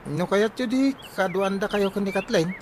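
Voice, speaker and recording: A man's voice: sits high, moderate pace, background noise, natural voice. A man playing an eager, helpful young student in a radio comedy drama, with an Ilocano accent.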